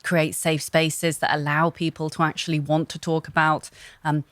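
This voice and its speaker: A young woman talking as an interview guest, thoughtful and relaxed, often pausing with fillers and restarting. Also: low voice, no echo. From Hong Kong. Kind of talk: casual interview talk.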